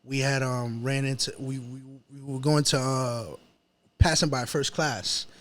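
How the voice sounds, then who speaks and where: A man with a New York accent chatting casually as a podcast guest, telling personal stories in a slangy, halting, repetitive way. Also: mid-range voice, little pitch movement. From New York City. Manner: slangy, halting, repeats himself.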